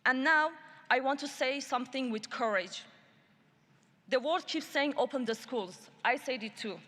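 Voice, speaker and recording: A woman from Iran giving a prepared speech at a human rights summit. She speaks in a deliberate, measured and emphatic way. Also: high voice, sharp tone. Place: large conference hall. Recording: long hall reverb.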